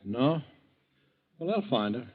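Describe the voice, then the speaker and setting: An actor playing an anxious, defensive young bank teller in 1940s radio style, curt and stammering. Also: male voice, mid-range voice, sing-song, takes it slowly. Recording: dull, lo-fi 1940s radio recording.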